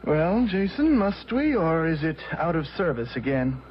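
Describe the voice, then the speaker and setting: A young heir of a gloomy family, wry and mercurial. His pitch swings widely with theatrical sarcasm. The radio drama recording is muffled, with clear noise behind him. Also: sing-song, normal speed. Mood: wry enthusiasm. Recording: dull, noisy radio recording.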